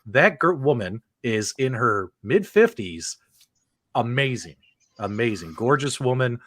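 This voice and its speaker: A man's voice: medium pitch, sing-song, a little slow, a bit bright, no echo, studio quality. A man hosting a casual panel show with emphatic, playful, teasing banter. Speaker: host of a video game movie show.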